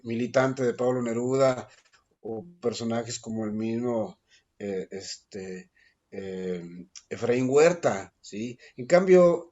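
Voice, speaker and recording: A man, a guest poet answering interview questions thoughtfully, pausing often with fillers and restarting his sentences. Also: mid-range voice, a little mellow. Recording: dull lo-fi recording.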